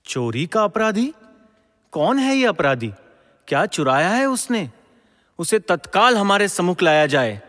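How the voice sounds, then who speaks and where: A dignified, benevolent monarch of mythological audio drama speaks with regal care. The pace is slow and measured, in long hall reverb. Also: male voice, high-pitched, sing-song, fairly bright tone, full character voice. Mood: commanding.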